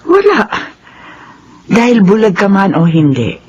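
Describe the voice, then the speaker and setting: A woman's voice: mid-range voice, huge pitch swings, a little slow, darkish tone, slightly rough, muffled recording, heavily acted. A woman playing a kindly older caretaker in a Filipino radio drama, patient, motherly and reassuring. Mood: reassuring, tender.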